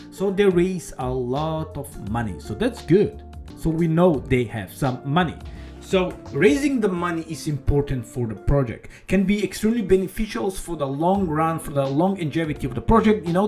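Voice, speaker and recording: A man hosting a casual crypto video, talking eagerly in a slightly raised voice. He rambles in non-native English phrasing and keeps dropping "okay" and "all right." Faint guitar music plays softly behind him. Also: fairly high pitch. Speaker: crypto YouTuber. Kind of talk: casual screen-share walkthrough.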